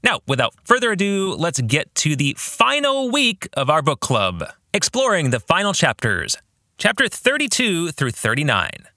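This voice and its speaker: A man in his forties hosting a podcast in a scripted monologue, with a deliberate, emphatic presenter's delivery. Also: a little high, wildly swooping pitch, pushed and bright, dry recording, studio quality. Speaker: podcast host and author.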